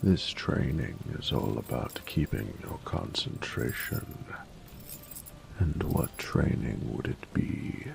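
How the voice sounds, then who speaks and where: Rumbling, ultra-deep flirty dragon. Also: male voice, animated, slight background noise, full character voice. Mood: patient, coaxing. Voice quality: very deep, gravelly, often dropping to a whisper. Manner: slow, formal, doting, seductive. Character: flirty male dragon, tender, adoring magic mentor. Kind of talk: intimate romantic audio roleplay.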